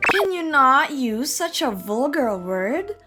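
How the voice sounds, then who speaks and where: A woman's voice: high-pitched, big pitch swings, takes it slowly, exaggerated voice. A woman voicing a vain, haughty young rich bride, condescending and boastful, in a scripted manga story. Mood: scornful. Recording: music behind the voice.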